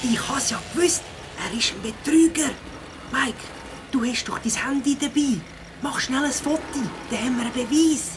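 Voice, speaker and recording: A woman's voice: high-pitched, moderate pace, noisy background, close to an everyday voice. A woman playing a brave, reassuring young leader of a group of friends in a children's audio drama, with a Swiss accent. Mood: urgent.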